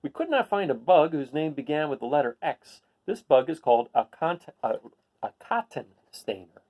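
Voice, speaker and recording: Lively man reading aloud. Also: on the high side, moderate pace. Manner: asks listeners questions. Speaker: read-aloud host. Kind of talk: reading a children's picture book aloud with casual asides.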